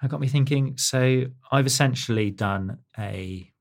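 A man's voice: medium pitch, measured pace, creaky. A man co-hosting a casual technical chat, rambling and self-deprecating, with many fillers and restarts. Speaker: technical editor, co-host.

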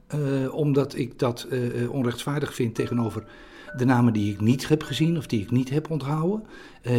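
A man recalling things in an interview in a halting, careful way, often repeating his words. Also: medium pitch, dark tone, slightly rough. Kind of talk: hesitant recollection in an interview.

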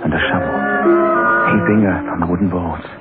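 An actor playing an anxious, guilt-ridden petty thief who narrates a 1960s radio suspense drama in a brooding, agitated way. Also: male voice, deep voice, a little fast, natural voice. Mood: haunted, agitated. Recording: tinny old radio recording with music.

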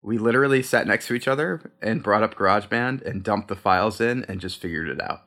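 A man with a fairly low voice, speaking as a guest in an interview. He talks in a chatty, rambling way and often restarts his sentences as he corrects himself. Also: fast talker, bright, forceful tone. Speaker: podcast co-creator, interview guest. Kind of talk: casual interview answers.

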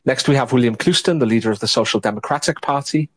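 A man hosting an online audio panel, introducing the guests in measured sentences broken by frequent hesitations. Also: mid-range voice, no echo. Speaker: host.

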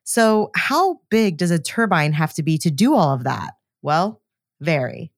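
A woman co-hosting a podcast, mixing scripted presenting with casual, expressive conversation. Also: low voice, unhurried, dry recording, studio quality. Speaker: podcast co-host.

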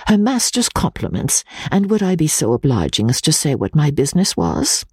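A wary Victorian servant girl from a storybook, as a woman reader puts her on. The voice is lifted high above the reader's own and stays husky. The manner is deferential, with guarded distrust. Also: huge pitch swings, normal speed, darkish tone, dry recording, clean studio sound, heavily acted. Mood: wary politeness. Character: wary, polite Victorian maidservant.

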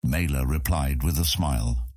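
A man's voice: very deep voice, normal speed, a little mellow, slightly rough, no room sound, studio quality. A man narrating scripted fantasy fiction as an audiobook narrator.

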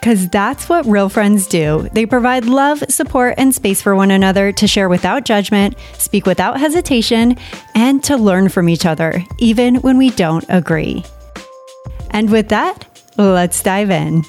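A woman's voice: medium pitch, expressive, measured pace, darkish tone, soft background music. Upbeat woman in her thirties. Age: 30s. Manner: upbeat and enthusiastic. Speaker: podcast co-host. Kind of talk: casual chat.

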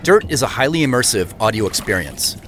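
A man's voice: medium pitch, big pitch swings, fairly quick, fairly bright tone, a little hiss or hum. A man giving scripted announcements as the narrator of an audio drama.

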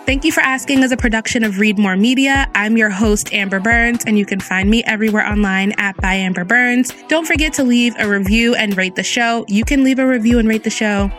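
A woman's voice: on the high side, fairly quick, few pauses, fairly bright tone, soft background music. A woman hosting her own podcast in a friendly, chatty way, with a soothing voice and a slight speech impediment. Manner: friendly, conversational, reads listener reviews aloud. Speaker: podcast host. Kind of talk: solo podcast episode, chatty.

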